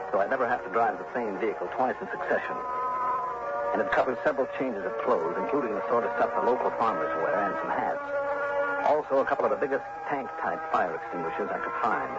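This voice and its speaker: A man's voice: medium pitch, natural voice. An actor playing a shrewd, self-assured male insurance investigator, wry and clipped, in 1960s radio drama style. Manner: wry, clipped, deliberate storytelling. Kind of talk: 1960s radio drama acting, first-person narration. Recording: dull vintage radio recording, loud music behind.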